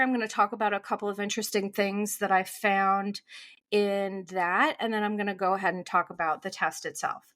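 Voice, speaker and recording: A woman in her early forties, a therapist hosting her own podcast, talking through a topic in animated, conversational commentary with frequent fillers and restarts, a little congested from a cold. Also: mid-range voice. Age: 40s.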